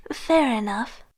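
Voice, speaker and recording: Woman acting a young aspiring artist, slightly high voice, soft, introspective, wistful motion-comic narration. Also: exaggerated voice. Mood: shy, amused. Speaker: narrator and lead character. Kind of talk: reflective first-person narration with dialogue.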